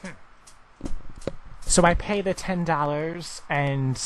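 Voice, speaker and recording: High-pitched, rambling man on a noisy recording. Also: takes it slowly. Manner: feigned confusion, rambling. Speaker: prank caller. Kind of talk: prank phone call, improvised absurd chat.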